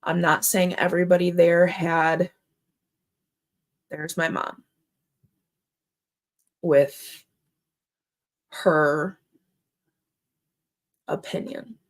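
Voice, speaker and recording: A woman from Minnesota hosting her own show, speaking with deep emotion in halting, earnest commentary. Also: level tone. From Minnesota, USA. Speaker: host. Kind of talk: emotional personal commentary vlog.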